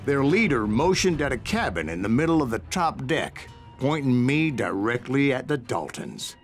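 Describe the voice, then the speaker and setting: Gravelly old gunslinger. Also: male voice, mid-range voice, sing-song, moderate pace. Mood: dramatic recounting. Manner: storytelling, folksy, self-assured. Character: grizzled, stubborn old gunslinger recounting his exploits. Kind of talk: dramatic game narration. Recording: music behind the voice.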